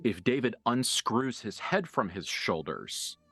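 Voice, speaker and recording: A man running a tabletop role-playing game as game master, improvising narration and dialogue in a casual, halting, sweary way. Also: on the low side, big pitch swings, creaky, fairly bright tone, breathy edge, soft background music.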